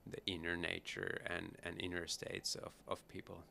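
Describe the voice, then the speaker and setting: A middle-aged man from Finland, a startup founder talking casually as an interview guest at a conference, relaxed and easygoing. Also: low voice, moderate pace, gravelly, a little hiss or hum. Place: busy conference hall.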